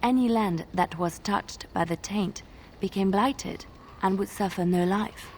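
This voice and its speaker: An actress playing an earnest, devout young bard who solemnly tells sacred legends in a fantasy video game. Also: female voice, medium pitch, a little slow, a little hiss or hum, close to an everyday voice. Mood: solemn storytelling.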